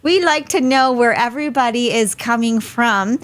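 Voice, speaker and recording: A woman's voice: on the high side, normal speed. A woman hosting a live webinar over a video call, chatty and friendly, laughing easily. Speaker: host.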